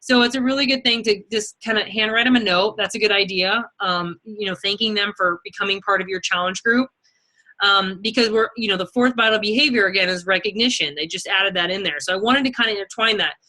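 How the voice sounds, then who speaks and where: A woman's voice: mid-range voice, a little fast, bright, forceful tone, poor audio. A woman leading a team as a fitness sales coach, talking casually and chattily in an informal motivational style. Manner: informal, chatty, peppered with okay and you know.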